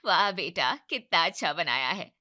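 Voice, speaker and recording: A woman voicing a loud stern father-in-law. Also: expressive, moderate pace. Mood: pleased. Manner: booming, scolding.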